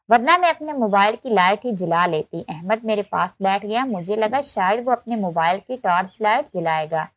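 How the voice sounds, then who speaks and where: A woman's voice: mid-range voice, dry recording. A woman narrating a scripted, emotional first-person story as a storyteller.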